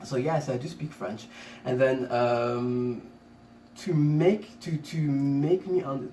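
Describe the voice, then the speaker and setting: A man from Congo talking loosely in a casual chat, circling back and restarting his sentences. His voice sits at an ordinary pitch and sounds set back from the microphone. The room around him rings noticeably, with a faint hiss underneath. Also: slow, warm tone. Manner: rambling, many restarts and fillers. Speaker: podcast co-host. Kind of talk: casual conversation. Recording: roomy, distant mic, faint noise.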